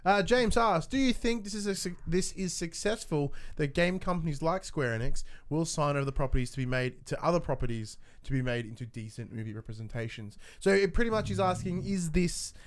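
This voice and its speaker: A man's voice: a little high, animated, fairly quick, few pauses. A man hosting a podcast, chatting casually and putting questions to his co-host. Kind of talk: casual chat.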